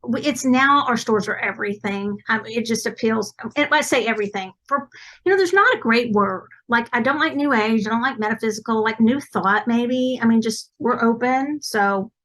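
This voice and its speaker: A woman's voice: a little high, moderate pace, fairly bright tone, rough recording. A woman with an East Texas accent, a psychic medium chatting as a podcast guest in a rambling, self-correcting way. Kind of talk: casual interview chat.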